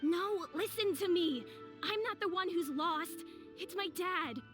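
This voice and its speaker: A woman's voice: extremely high pitch, unhurried, music in the background. A woman voicing an earnest, worried young boy in a video game. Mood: insistent. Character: earnest young boy, worried about his forgetful father. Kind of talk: video game character dialogue.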